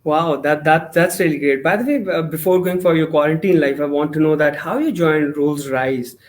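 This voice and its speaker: A man hosting a podcast interview. His voice sits somewhat high for a man. He asks long questions in a halting way, restarting as he goes. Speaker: podcast host.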